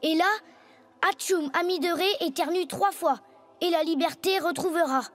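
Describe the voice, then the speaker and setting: A woman's voice: very high-pitched, moderate pace. A woman voicing an eager, curious schoolboy hero in a French cartoon dub. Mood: curious, absorbed. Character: eager, curious schoolboy hero who transforms every morning. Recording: music behind the voice.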